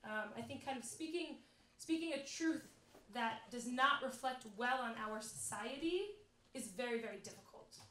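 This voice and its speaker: A woman on a panel explaining her organization's work to an audience, steady with light fillers. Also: fairly high pitch, normal speed, a little hiss or hum. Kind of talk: answering audience questions at a panel. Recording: echoey hall, far from mic.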